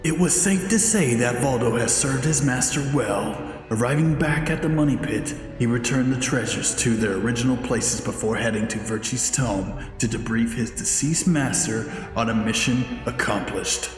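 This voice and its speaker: A man's voice: mid-range voice, normal speed, few pauses, soft background music. Echoing male game narrator. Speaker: story narrator. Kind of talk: scripted video game narration.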